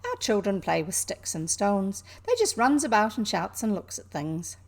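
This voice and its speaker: A blunt, kindly young maid from a storybook, talking in broad, hearty Yorkshire dialect with a Scottish or Irish lilt creeping in, as a woman reader puts her on. The voice has a gravelly, husky edge. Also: medium pitch, sing-song, moderate pace. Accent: Yorkshire, read with some Scottish or Irish lilt.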